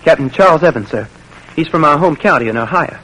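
Husky, folksy young soldier. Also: male voice, a little high, animated, fast, bright, forceful tone, poor audio. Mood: polite, folksy. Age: young adult. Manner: folksy, polite. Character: polite, easygoing young private, a devoted son. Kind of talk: old-time radio drama acting.

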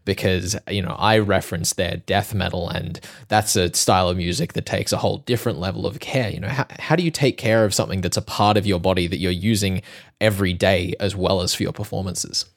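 A man's voice: low-pitched, steady tone, fairly quick. A man hosting an interview, asking long questions in a fluent, conversational way with many casual fillers. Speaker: radio host and interviewer.

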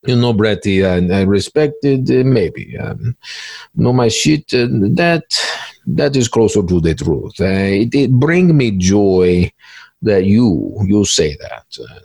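A man voicing a smug, cynical veteran cybercriminal in an interview skit, with halting, repetitive, stilted phrasing. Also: on the low side, very animated, vocal fry, warm tone, dry recording, exaggerated voice. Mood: deadpan pleased. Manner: halting, repetitive, stilted grammar with drawn-out hesitations.

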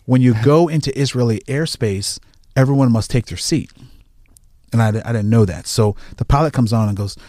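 Animated man telling a story, dry sound. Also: medium pitch, moderate pace, dry recording. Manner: animated, acts out quoted lines. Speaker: firefighter guest. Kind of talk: casual storytelling in conversation.

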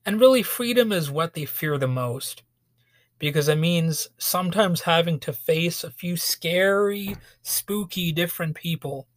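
A man hosting a solo podcast, ranting sarcastically with lots of fillers and restarts, his voice a little hoarse from a cold. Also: a little high, unhurried, dry recording. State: slightly hoarse, getting over a cold. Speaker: podcast host. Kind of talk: solo opinion podcast monologue.

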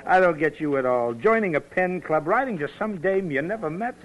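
Cocky, teasing young working guy, vintage radio drama, lively pitch, slangy wisecracks. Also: normal speed, lo-fi sound. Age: young adult. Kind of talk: 1940s radio acting.

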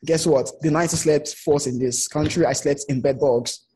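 A man's voice: on the high side, normal speed, husky. A man speaking as an interview guest, telling personal stories conversationally and acting out the remarks he quotes. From outside the United States. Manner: animated retelling with quoted remarks.

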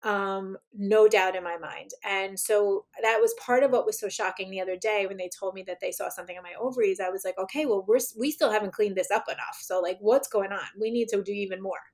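Woman guest, slightly raspy, rambling. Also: medium pitch, brisk, few pauses. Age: adult, mother of children. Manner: rambling, with many fillers and restarts. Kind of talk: casual interview conversation.

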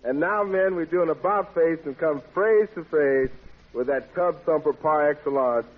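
A man's voice: a little high, normal speed. An American man hosting a 1940s radio show, introducing acts in playful, florid hepcat slang. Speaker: radio show host and announcer. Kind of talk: 1940s radio jive-talk emceeing. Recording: tinny band-limited vintage 1940s radio recording.

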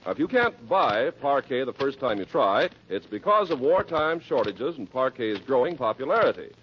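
A man works as a scripted radio announcer in 1940s style. His medium-pitched voice rises and falls in a very animated way. The old recording is dull. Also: moderate pace, slight background noise.

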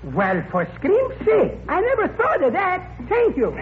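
Excitable foreign restaurateur, lively swoops, mangled grammar, vintage radio comedy, hissy narrow-band recording. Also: male voice, very high voice, unhurried, heavily acted. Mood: surprised, pleased. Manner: malapropisms, mangled grammar, indignant earnestness. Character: excitable foreign restaurant owner who mangles his English. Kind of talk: 1940s radio comedy acting. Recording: tinny, noisy vintage radio broadcast.

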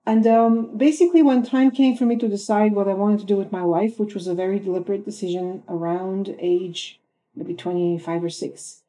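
Dark-voiced woman from Bulgaria, thoughtful. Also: mid-range voice, fairly even intonation, moderate pace, poor audio. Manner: thoughtful, many ums and uhs. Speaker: film composer guest. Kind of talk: podcast interview answers.

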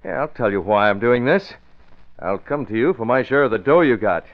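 An actor playing a resolute, terse and calculating crime fighter in a vintage radio drama. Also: male voice, medium pitch, expressive, a little fast, close to an everyday voice. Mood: terse, menacing. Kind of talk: 1940s-50s radio drama acting. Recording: muffled, noisy vintage radio recording.

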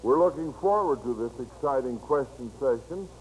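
A man's voice: medium pitch, animated, normal speed, a little mellow, slight background noise, low-quality recording. An American man hosting an old-time radio quiz show in a folksy, encouraging manner, on a vintage broadcast recording. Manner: folksy, encouraging toward child contestants.

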